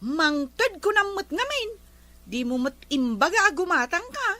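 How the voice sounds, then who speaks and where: Brief: actress as guarded village store owner, high sharp voice, questioning, matter-of-fact, comedy drama. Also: female voice, very animated, unhurried, dry recording, heavily acted. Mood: reluctant, wary. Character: guarded female village shopkeeper selling on credit reluctantly.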